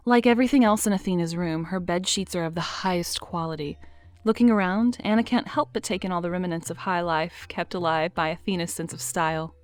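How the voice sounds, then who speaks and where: A woman reading scripted narration for an audio drama, in a lively, expressive way. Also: on the low side, dry recording.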